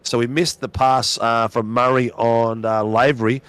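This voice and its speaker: A man with a hoarse voice calling a sim race with excited, rapid play-by-play. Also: mid-range voice. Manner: fast, exclamatory, calls names rapidly. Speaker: race commentator.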